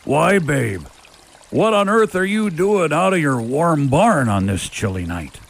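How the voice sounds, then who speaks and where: A man's voice: a little high, very animated, a little slow, gravelly, exaggerated voice. A man playing a big, sleepy, kindly moose in a family radio drama, drowsy and folksy.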